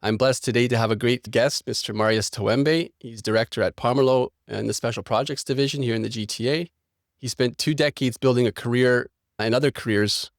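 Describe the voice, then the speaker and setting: Fast-talking, gravelly podcast host. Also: male voice, medium pitch, no room sound, clean studio sound. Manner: upbeat, conversational, quick questions. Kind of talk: podcast interview.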